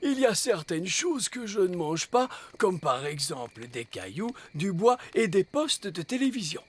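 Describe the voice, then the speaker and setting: A man's voice: sits high, sing-song, a little slow, heavily acted. A man playing a good-natured, gluttonous, talkative elf in a cartoon dub, earnest and naive in a ponderous way. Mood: boastful, naive. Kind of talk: animated cartoon dub acting.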